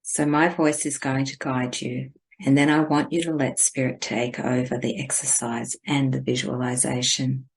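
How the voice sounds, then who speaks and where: A woman leading a guided spiritual meditation in a gentle, deliberate, instructing manner. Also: extremely low pitch, level tone, creaky, breathy edge, poor audio.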